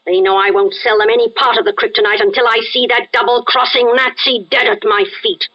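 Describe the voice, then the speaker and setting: A woman's voice: fairly high pitch, steady tone, brisk, natural voice. An actress playing a gaunt, ugly, vengeful female crime boss in 1940s radio style, imperious and emphatic. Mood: vengeful, commanding. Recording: muffled vintage radio recording.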